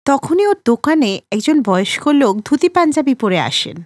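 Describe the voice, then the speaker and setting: A woman narrating a children's cartoon story in a steady storytelling manner. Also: high-pitched, a little fast, dry recording, clean studio sound.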